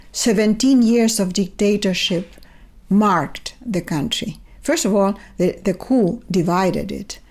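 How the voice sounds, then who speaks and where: An older woman from Chile with a Chilean accent, a native Spanish speaker, talking in a measured, thoughtful way as an interview guest on a remote call. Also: medium pitch, darkish tone. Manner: thoughtful, measured storytelling with repeated restarts. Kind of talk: reflective conversational interview. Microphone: remote video call.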